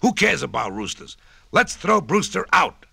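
A man voicing a crowd of indignant farm animals, shouting in singsong rhymes. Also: a little high, big pitch swings, normal speed, gravelly, husky, dry recording, voice pushed up, exaggerated voice.

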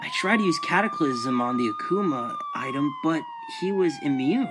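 A woman's voice: fairly low pitch, huge pitch swings, normal speed, very noisy. A woman voicing a cocky young cat-boy superhero with theatrical delivery in a cartoon comic dub. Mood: worried. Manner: quippy, theatrical. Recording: loud music behind.

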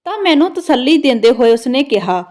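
A woman's voice: high-pitched, sing-song, brisk, darkish tone. A woman narrating a scripted first-person story in a dramatic storytelling manner.

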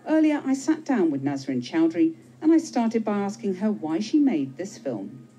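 A woman presenting the news and interviewing a guest with crisp, probing questions in a TV studio. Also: mid-range voice, big pitch swings, moderate pace, mellow, rough recording.

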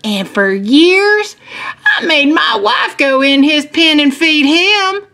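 Kindly farmer, high-pitched and lively, played by a woman. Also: slow pace, exaggerated voice. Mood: confiding. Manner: patient, reassuring explaining. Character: kindly, folksy adult male farmer giving a tour.

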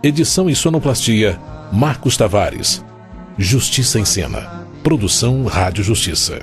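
A man's voice: fairly low pitch, lively intonation, measured pace. Brazilian male announcer over music. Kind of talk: scripted credits announcement.

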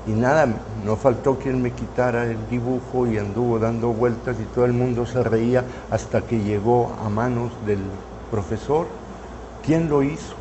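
A man in his seventies from Mexico, reminiscing in an interview in his studio, unhurried and full of hesitant fillers. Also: mid-range voice, background noise. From northern Mexico. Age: 70s. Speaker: painter interviewee. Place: artist's studio, indoors. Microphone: lapel mic.

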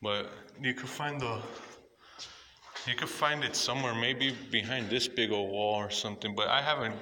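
A man casually narrating a walkthrough of a dark abandoned building, rambling and repeating himself as he points things out, filmed on a handheld phone. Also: mid-range voice, normal speed, slight background noise. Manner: rambling, repetitive pointing out spots. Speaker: urban explorer vlogger. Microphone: handheld phone camera.

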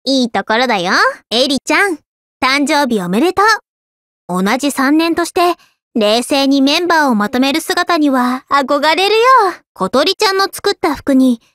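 A woman voicing an easygoing, affectionate teenage schoolgirl in an anime game, with a caring, gently teasing manner. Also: high voice, dry recording, studio quality. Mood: caring, encouraging. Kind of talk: scripted anime game voice lines.

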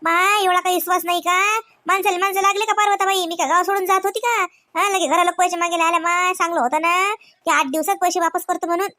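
A woman's voice: very high-pitched, fairly even intonation, fast talker, sharp tone. A woman voicing an anxious village debtor in a comedy cartoon, pleading plaintively and repetitively with a Vidarbha Marathi accent. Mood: aggrieved. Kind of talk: comedy cartoon dialogue in rural dialect.

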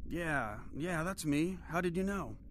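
A man's voice: on the high side, expressive, unhurried, slight background noise. An actor playing a rattled, streetwise captive bounty hunter in a video game, with a worked-up, slangy tough-guy manner. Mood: relieved, grateful.

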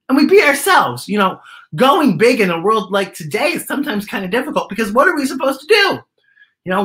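Theatrical, bright-voiced man hosting children's storytime. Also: high voice. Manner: theatrical, playful, exaggerated delivery for children. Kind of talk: children's read-aloud with chatty asides. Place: living room, indoors.

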